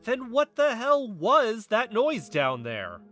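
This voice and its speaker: A man's voice: very high voice, huge pitch swings, takes it slowly, fairly bright tone, faint music behind, exaggerated voice. A man playing a paranoid, agitated investigative podcaster in an audio drama, speaking with urgent insistence. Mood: agitated, alarmed. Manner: urgent, insistent, dwelling on suspicions. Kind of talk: audio fiction podcast dialogue.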